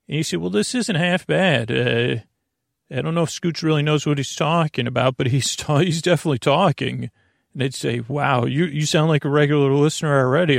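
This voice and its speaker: A man hosting a bedtime podcast, talking in a meandering, self-interrupting way with gentle jokes. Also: mid-range voice, creaky, no room sound, clean studio sound. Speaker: sleep podcast host. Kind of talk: rambling casual monologue.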